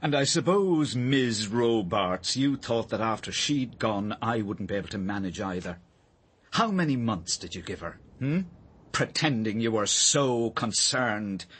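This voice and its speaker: Embittered painter father, British, deep, rough-edged, sarcastic, menacing, radio drama. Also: animated, a little slow, fairly bright tone, full character voice. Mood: bitter, accusing. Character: bitter, hot-tempered father and struggling painter.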